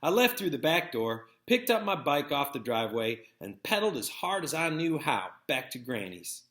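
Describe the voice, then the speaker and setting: A man reading a first-person story aloud as narrator, with an expressive, folksy storytelling manner. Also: medium pitch, moderate pace. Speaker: read-aloud narrator, teacher. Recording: echoey, roomy sound.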